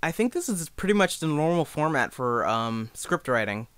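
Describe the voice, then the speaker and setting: A man's voice: on the high side, sing-song, normal speed, fairly bright tone, smooth, no room sound. A young man giving a casual, informal tutorial as an amateur, talking in a self-deprecating way. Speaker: amateur fan dub creator giving a tutorial.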